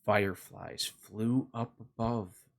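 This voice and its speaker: A man hosting a children's read-along, narrating a picture book expressively with deliberate, sing-song emphasis for young listeners. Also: on the low side, steady tone, vocal fry.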